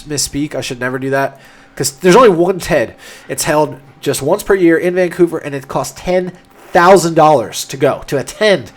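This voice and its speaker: A young man talks through a live stream in an eager, explanatory way, often restarting his words. His nose is stuffed up from a cold, which makes him sound congested. His voice sits a little on the high side. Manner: enthusiastic, explanatory, frequent restarts. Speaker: livestream host answering chat questions. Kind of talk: casual livestream talk.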